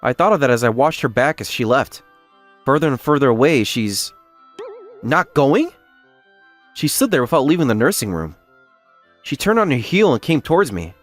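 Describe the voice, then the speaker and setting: A man playing a quiet, earnest, lovesick schoolboy in a manga dub, reserved and halting when flustered. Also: mid-range voice, sing-song, soft background music, natural voice. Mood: quiet wonder. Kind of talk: dramatic manga dub narration and dialogue.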